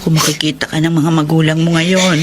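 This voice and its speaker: An actress playing a caring, sorrowful middle-aged aunt with a gentle manner in a radio drama. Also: female voice, sits low, little pitch movement, brisk, noisy background, natural voice. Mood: consoling. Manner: gentle, consoling.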